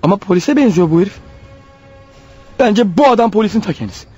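An actor playing a paranoid, desperate killer on the run in a radio drama, thinking aloud in an agitated, urgent manner. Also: male voice, high voice, lively intonation, fast talker, breathy edge, lo-fi sound, faint music behind. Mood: anxious, watchful. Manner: agitated, urgent, talking his thoughts out loud. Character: desperate, paranoid fugitive killer, a married man. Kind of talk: radio drama acting with spoken-aloud thoughts.

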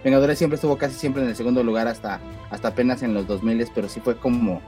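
A Mexican man talking quickly at a middling pitch on a comics panel. He keeps checking in with the others, tagging his sentences with quick questions. Faint music plays behind him. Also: steady tone, dark tone. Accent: Mexican Spanish. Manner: fast, tag questions "¿no?" often. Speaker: podcast panelist. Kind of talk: casual comic-book chat.

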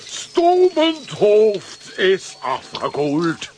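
A blustering, excitable middle-aged man in a children's radio play. His gravelly voice sits high and leaps all over, and he talks in a slow, drawn-out way. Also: a little hiss or hum, heavily acted.

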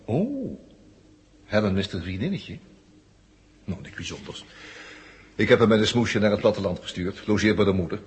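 Low-voiced henchman, reverberant sound. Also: big pitch swings, normal speed, pauses often. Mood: sneering. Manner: taunting, sardonic. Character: sneering male henchman, taunting his captives. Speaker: radio drama actor. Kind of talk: 1970 Dutch radio play acting.

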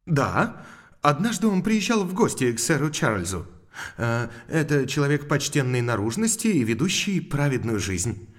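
An actor playing a mild, precise country doctor in an audio drama, explaining formally with small hesitations. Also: male voice, medium pitch, big pitch swings, moderate pace, close to an everyday voice. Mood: earnest, explanatory.

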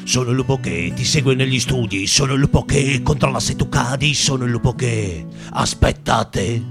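A man with a mid-pitched voice performs an incantatory singer-songwriter ballad. He chants repeated verses and stretches the refrain endings over prominent guitar. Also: moderate pace, gravelly. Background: acoustic guitar.

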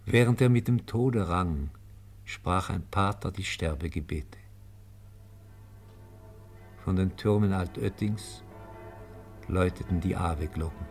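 A man narrating a scripted radio play in a solemn, measured storytelling manner. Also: deep voice, darkish tone, faint music behind.

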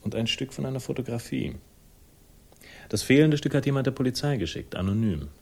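A man's voice: medium pitch, lively intonation, brisk, gravelly, a little mellow, breathy edge. An actor in a 1960s crime radio play, playing a wry, laconic man out to avenge his sister and narrating it himself. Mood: probing, dry. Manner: dry, sardonic, laconic. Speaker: lead character and first-person narrator.

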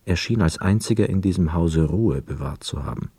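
An actor playing a brooding young hotel guest, narrating a literary radio play in a reflective, measured, sombre manner. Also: male voice, sits low, dark tone, slightly rough, no room sound, exaggerated voice.

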